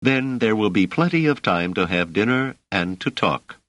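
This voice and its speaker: A man's voice: on the low side, animated, normal speed, a bit bright, dry recording, voice pushed up. A man voicing a plump, merry, middle-aged motherly woman in an audiobook, gracious and politely chatty. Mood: pleasantly amused.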